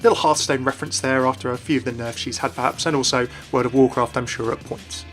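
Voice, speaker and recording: Male gaming-video narrator, rapid and chatty, wry asides, light music bed. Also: medium pitch, fairly even intonation, a bit bright. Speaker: video narrator and game commentator. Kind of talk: scripted explainer narration. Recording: voice-over with light background music. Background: background music.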